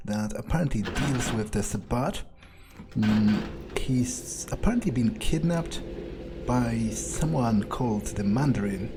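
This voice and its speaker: A man improvising an in-character gameplay monologue as a sardonic vampire, musing hesitantly and casually to himself with dry, morbid humor. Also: mid-range voice. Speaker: let's player role-playing a character.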